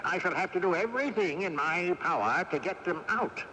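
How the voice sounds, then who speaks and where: A man's voice: high-pitched, lively intonation, fairly quick, sharp tone. A man playing a cantankerous, courtly old ghost of a great-grandfather in a radio drama, with pompous, old-fashioned diction. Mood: huffy. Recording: noisy old radio broadcast, long ghostly reverb.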